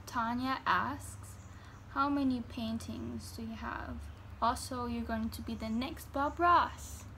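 A young woman in her teens, a vlogger from Germany, answering fan questions in casual chat in her bedroom, full of fillers and reading each question out slowly. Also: on the high side, bright, forceful tone, slight background noise. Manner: chatty, filler-heavy, reads questions slowly. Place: bedroom indoors. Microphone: phone camera at arm's length.

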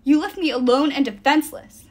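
Woman as wounded teenage boy, raised pitch, curt, reproachful, sharp-tongued, audiobook character. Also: expressive, a little fast. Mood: hurt, angry.

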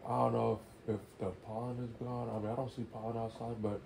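A man's voice: mid-range voice, flat delivery, fast, creaky, background noise. A young man with a stuffy nose giving casual, rambling gameplay commentary, swearing freely. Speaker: gaming YouTuber.

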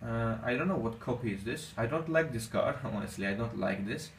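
Armenian male gaming host, mid-pitched, halting speech, faint hiss behind him. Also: lively intonation. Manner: halting, non-native English with many fillers. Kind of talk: casual gameplay commentary. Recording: echoey room, distant mic, faint noise.

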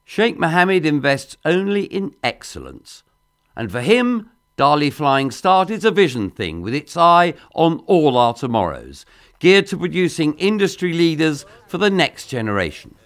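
A man giving scripted promotional narration in a polished, measured voice-over delivery. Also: a little high, sing-song, fairly bright tone.

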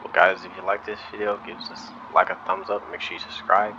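A man giving casual, low-key, murmured commentary as host of a gameplay video. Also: flat delivery, a little fast, sharp tone. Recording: muffled band-limited mic, faint noise.

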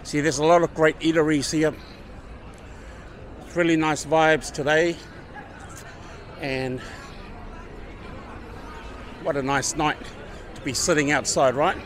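A man's voice: a little high, fairly even intonation, slow, pauses often. A middle-aged man casually vlogging while walking a busy evening street, talking to a handheld camera. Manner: laid-back, chatty, upbeat street talk. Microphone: handheld camera at arm's length. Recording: street crowd and traffic noise.

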